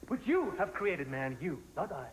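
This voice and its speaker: A man's voice: high-pitched, big pitch swings, normal speed, low-quality recording. An actor playing an indignant, earnest man in a television soap opera, speaking emphatically and repeating himself. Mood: accusing. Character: indignant, earnest man defending his daughter.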